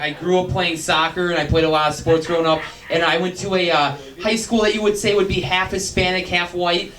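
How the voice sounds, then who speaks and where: A man in his late twenties doing a stand-up set, nerdy and self-deprecating with quick asides. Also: a little high. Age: 20s. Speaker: stand-up comedian. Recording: echoey club, far mic, crowd.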